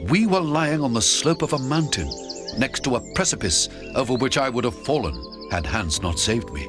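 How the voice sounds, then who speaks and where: A man narrating an adventure audiobook in the first person, theatrical and expressive. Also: medium pitch, moderate pace, music in the background.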